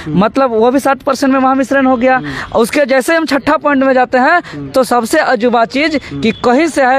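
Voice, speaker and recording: A man speaks in a strikingly high-pitched voice. He argues like an activist in an interview, laying out points methodically. His delivery is emphatic and rhetorical, leaning on repeated phrases. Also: a bit bright. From Jharkhand, India. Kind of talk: political interview, speech-like argument.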